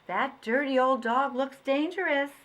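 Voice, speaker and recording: A woman's voice: high-pitched, a little slow, a bit bright, voice pushed up. A woman as a fussy, raised-voice mother.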